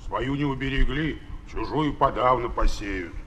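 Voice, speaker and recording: A man's voice: mid-range voice, a little slow, a bit bright, slight background noise, lightly acted. A man playing a bossy, scolding army sergeant in a children's audio drama. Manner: barking, scolding. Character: bossy army sergeant leading marching sappers. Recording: 1970s flexi-disc. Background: music.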